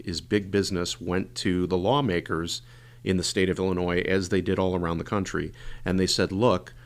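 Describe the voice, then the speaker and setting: A man hosting a legal podcast, hoarse and a bit congested from a cold, talking casually with many fillers. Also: deep voice. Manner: rambling, filler-heavy explaining. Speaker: podcast host, injury lawyer.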